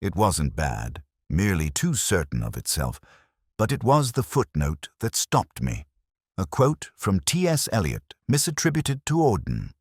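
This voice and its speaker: A man playing a brooding, cerebral older detective inspector, narrating a mystery in a measured, grave, literary manner for a radio drama. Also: low voice, wildly swooping pitch, husky, no echo, clean studio sound, full character voice. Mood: brooding, reflective.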